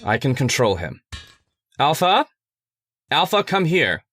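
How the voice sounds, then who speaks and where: A man's voice: on the high side, big pitch swings, slow pace, fairly bright tone, slightly rough, no echo, clean studio sound, exaggerated voice. A man playing a persuasive, controlling scientist's assistant in a radio horror play, earnest and articulate. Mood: commanding. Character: calm, stubborn, persuasive scientist's assistant devoted to the experiment. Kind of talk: old-time radio horror acting.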